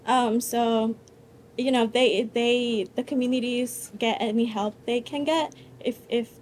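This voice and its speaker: A woman's voice: on the high side, steady tone, measured pace, darkish tone, slight background noise. A young woman from El Salvador, a student presenter answering audience questions at a conference, hesitant with many fillers and restarts.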